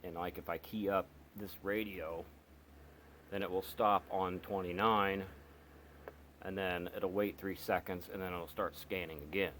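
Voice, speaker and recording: A man casually walking through the features of a radio he is reviewing, explaining step by step with many pauses and restarts. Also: low voice, fairly even intonation, fairly bright tone, a little hiss or hum. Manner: halting, lots of ums and restarts, explains step by step. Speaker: radio hobbyist reviewing gear. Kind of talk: casual product walkthrough.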